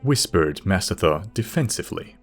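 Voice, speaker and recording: Male narrator, low-pitched, scripted fantasy storytelling, soft music bed. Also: very animated, normal speed.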